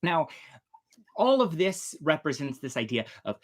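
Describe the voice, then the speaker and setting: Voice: mid-range voice, very animated, unhurried. A storytelling show host presenting casually to an online audience in a chatty, theatrical way. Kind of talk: casual livestream presenting to camera.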